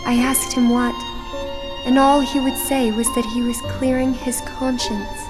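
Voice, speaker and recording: A woman voicing a gentle, wistful teenage girl in a video game cutscene, speaking softly and earnestly. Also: fairly high pitch, level tone, unhurried, lightly acted. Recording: game audio over loud music. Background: music.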